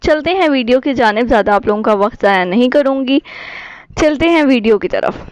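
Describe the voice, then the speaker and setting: A woman's voice: fairly high pitch, animated, brisk, smooth, no room sound. A woman, an Urdu speaker, narrating a casual app tutorial as a YouTube host.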